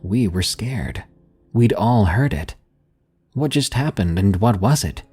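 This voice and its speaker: A man narrating scary stories in a slow, hushed storytelling manner, with soft music behind him. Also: fairly low pitch, expressive, breathy edge. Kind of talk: scripted horror narration.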